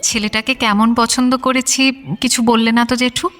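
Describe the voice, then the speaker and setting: A woman's voice: a little high, level tone, moderate pace, fairly bright tone, faint music behind, lightly acted. A woman playing a spirited, girlish young bride in an audio drama, pert and coaxing. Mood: pert protest.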